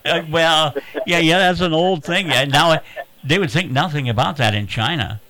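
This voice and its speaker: A man hosts a radio call-in show, chatting and reminiscing in a halting, rambling, easygoing way. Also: a little high, bright, forceful tone, no echo, low-quality recording. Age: 80s. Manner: rambling, halting, chuckling storyteller. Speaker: radio talk host taking callers. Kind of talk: casual, unscripted reminiscing and chat.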